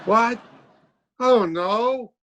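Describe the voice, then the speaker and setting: A man's voice: very high voice, very slow, fairly bright tone, dull audio, slight background noise, exaggerated voice. A man playing a sarcastic, exasperated middle-aged doctor in an old-time radio comedy, trading dry insults. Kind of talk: 1950s radio comedy acting.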